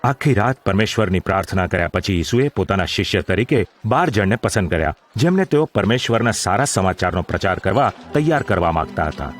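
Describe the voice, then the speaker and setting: A man's voice: deep voice, animated, a little fast, few pauses. A man narrating a scripted audio drama in a formal, literary storytelling manner. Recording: music under the voice.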